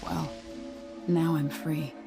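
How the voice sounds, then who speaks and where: An actress playing a young exiled empress in a video game, speaking in a measured, introspective, serious way. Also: female voice, low voice, level tone, vocal fry, over music, exaggerated voice. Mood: brooding. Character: brooding young exiled empress, determined and self-questioning. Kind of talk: video game cutscene monologue and dialogue.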